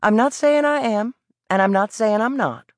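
A woman's voice: fairly high pitch, normal speed, dry recording, clean studio sound, voice pushed up, lightly acted. Woman doing a defiant Southern boy. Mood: stubborn. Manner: blunt, stubborn drawl.

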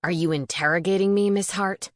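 A woman voicing a gruff, scowling, lazy male sheriff in an audiobook, curt and irritable. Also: on the low side, fairly even intonation, a little fast, no room sound, studio quality, close to an everyday voice. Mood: defensive.

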